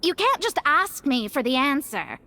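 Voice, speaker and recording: Proud, high-voiced young astrologer mage. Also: female voice, wildly swooping pitch, moderate pace, bright, forceful tone, heavily acted. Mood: defensive. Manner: haughty, dramatic, huffy. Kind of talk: video game voice acting.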